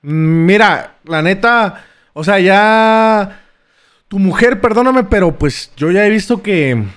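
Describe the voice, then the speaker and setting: A man's voice: high voice, animated, slow, a bit bright, clean tone. A young man with a Mexican accent chatting casually in a slangy, stammering way, on a close microphone. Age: young adult. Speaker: prank-call streamer. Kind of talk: casual prank call banter. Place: small streaming room indoors. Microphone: close boom-arm microphone.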